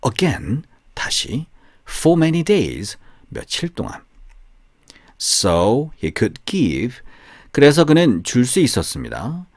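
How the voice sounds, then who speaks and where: A man reading a storybook for language learners in short, deliberate phrases, alternating with translations. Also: medium pitch, slightly rough, dry recording, clean studio sound. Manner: slow, deliberate, pausing between short phrases. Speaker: narrator of bilingual audiobook.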